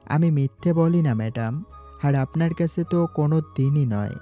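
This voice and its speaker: Male actor as calm young student, guarded and polite; muffled lo-fi sound, faint music. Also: on the high side, normal speed, lightly acted. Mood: sad, sincere. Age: young adult. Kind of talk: Bengali audio story acting.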